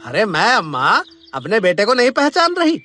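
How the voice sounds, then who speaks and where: A man's voice: high-pitched, huge pitch swings, normal speed, sharp tone, exaggerated voice. A man playing a two-faced, wheedling grown son in a Hindi cartoon story, with exaggerated pleading delivery.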